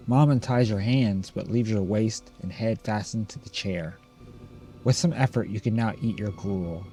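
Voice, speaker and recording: A man's voice: on the low side, warm tone. A man doing let's play commentary, reading game text aloud and adding casual remarks. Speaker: gameplay video host.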